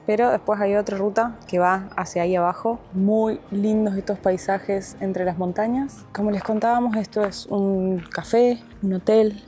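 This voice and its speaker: A woman's voice: medium pitch, warm tone, a little hiss or hum. An Argentine woman in her thirties, a travel vlogger explaining the trip in a chatty way outdoors in the mountains. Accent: Argentine Spanish. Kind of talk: travel vlog narration.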